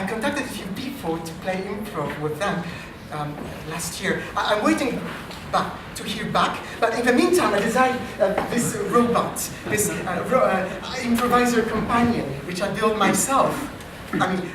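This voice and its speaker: A man with a French accent, an AI researcher hosting a live improv comedy show, talking in a dry, self-deprecating way with halting restarts. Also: high-pitched, noisy background. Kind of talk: live comedy stage talk. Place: live comedy meetup venue. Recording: distant, very echoey live venue.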